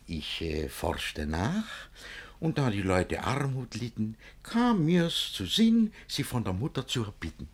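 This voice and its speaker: An actor playing a smug, mocking older bridegroom in a rhymed classic radio play, declaiming with theatrical self-assurance in a husky voice. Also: male voice, fairly low pitch, full character voice.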